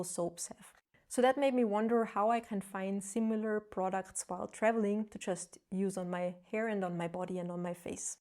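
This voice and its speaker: A woman's voice: on the low side, dark tone. A woman with a German accent, a non-native English speaker, explaining skincare findings in an earnest, casual vlog with light self-deprecating humor. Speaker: travel vlogger.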